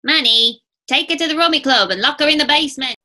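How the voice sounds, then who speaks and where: A woman's voice: high-pitched, quick pace, bright, forceful tone. A woman voicing a pet parrot that insistently mimics phrases in a radio play. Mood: insistent mimicry. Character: squawking pet parrot repeating an overheard order. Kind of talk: 1940s radio play acting.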